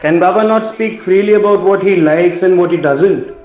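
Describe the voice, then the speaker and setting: Man as indignant defensive brother, high pitch, confrontational, roomy echo, faint music, radio drama. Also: normal speed, a little mellow, lightly acted. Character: indignant, hard-drinking brother, defensive of father. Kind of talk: amateur radio play acting. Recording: echoey room under music.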